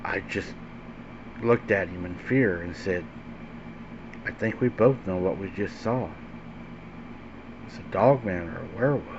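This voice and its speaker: A man narrating a scary story as host, in a dramatic, suspenseful way with deliberate, emphatic phrasing. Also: sits low. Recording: dull lo-fi sound with faint background hum.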